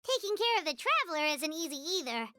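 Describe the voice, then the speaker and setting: A woman voicing a tiny cheerful fairy companion in a video game, squeaky, childlike and dramatically chirpy. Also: very animated, brisk, heavily acted. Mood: weary complaint. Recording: loud game music behind.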